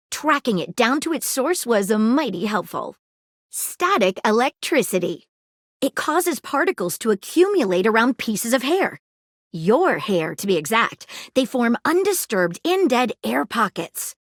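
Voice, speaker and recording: Eager sleuthing magnifying glass, bouncy, highish voice, dry studio read. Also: female voice, a bit bright, no echo, heavily acted. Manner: theatrical noir detective patter, suspicious and playful. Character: eager female detective magnifying glass, noir-style sleuth. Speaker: voice actor. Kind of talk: video game character voice lines. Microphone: close studio mic.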